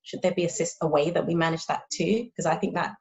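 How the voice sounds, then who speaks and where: A woman's voice: fairly low pitch, steady tone, quick pace, a little mellow. A woman speech and language therapist in a casual panel discussion, talking conversationally and checking in with her listeners. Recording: dull lo-fi audio.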